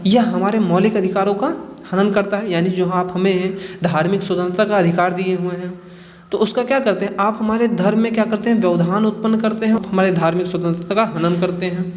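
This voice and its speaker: A man's voice: sits high, a little mellow. Echoey man explaining like a teacher. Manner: didactic, asks rhetorical questions and answers them. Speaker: educational explainer presenter. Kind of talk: explanatory lecture on current affairs. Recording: echoey reverberant room.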